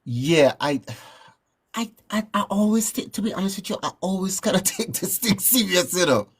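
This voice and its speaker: A man from Trinidad with a Trinidadian accent, telling stories in a relaxed, rambling way as a guest in a casual interview. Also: sits high, moderate pace, breathy edge. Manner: relaxed, rambling, frequent "you know what I'm saying". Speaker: guest musician.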